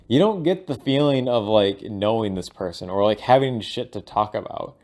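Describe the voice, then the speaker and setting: A man thinking aloud in a casual, rambling audio log, halting and informal, sometimes voicing imagined lines of dialogue. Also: on the low side, animated, a little mellow. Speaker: solo audio log host.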